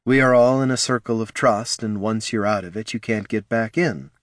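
A man voicing a sharp-tongued female assassin. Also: lowish voice, normal speed, dry recording, clean studio sound, natural voice. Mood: insistent. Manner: insistent, snappish.

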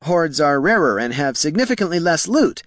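A man plays a meek, anxious clerk from a fantasy storybook. The voice is pitched high and squeaky, with lively swings, and stays formal and eager to defer to superiors. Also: moderate pace, dry recording, clean studio sound. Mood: nervous, squeaky. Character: nervous, deferential male Scribkin financial clerk.

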